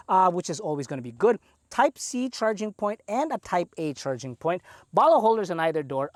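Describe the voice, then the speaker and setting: Lively Filipino man reviewing a car. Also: sits high, dry recording, clean studio sound. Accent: Filipino English. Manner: chatty, playful, enthusiastic asides. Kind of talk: presenting a car review walkaround.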